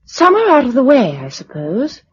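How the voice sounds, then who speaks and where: A spirited young British novelist in a radio play. Her wry, light voice is a little high and husky, swinging expressively up and down. The old-style recording sounds slightly dull. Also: wildly swooping pitch, a little fast, exaggerated voice. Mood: thoughtful, practical. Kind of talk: British radio drama acting.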